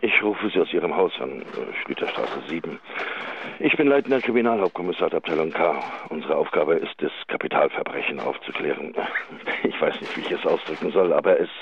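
A man's voice: extremely low pitch, lively intonation, a little fast, a little hiss or hum. An actor in a radio drama playing a formal, awkward chief inspector, officious and hesitant as he leaves a phone message. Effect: telephone filter. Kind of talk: German radio drama acting. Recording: tinny, echoey phone line.

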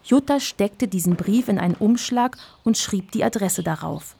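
A woman's voice: mid-range voice, animated, normal speed, gravelly, mellow, slightly rough. A woman narrating a children's story in a scripted radio play style.

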